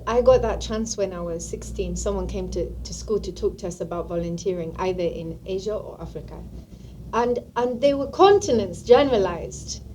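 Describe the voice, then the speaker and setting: A woman from England speaking as an interview guest, thoughtful and stop-start. Her voice is mid-pitched. A faint hiss sits behind her. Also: dark tone, poor audio. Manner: thoughtful, trailing off and restarting. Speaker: guest musician. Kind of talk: casual interview talk.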